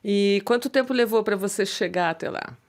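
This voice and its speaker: A woman's voice: medium pitch, a little fast. A woman hosting a radio interview in a studio, introducing her guest and asking questions in a composed, formal way.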